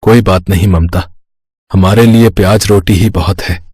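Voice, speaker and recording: A man's voice: sits low, steady tone, normal speed, darkish tone, breathy edge, dry recording, studio quality. A man voicing a poor, hardworking street vendor, an earnest and plaintive family man in a Hindi moral-story cartoon. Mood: weary, resigned. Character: poor, hardworking pani puri vendor, worried earnest husband and father.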